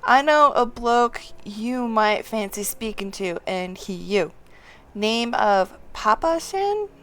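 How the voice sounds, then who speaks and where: A woman's voice: on the high side, expressive, slow pace, a bit bright, clean tone, heavily acted. A woman reading a fantasy game quest-giver in character, folksy and helpful, with colloquial, old-fashioned turns of phrase. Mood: folksy, advising.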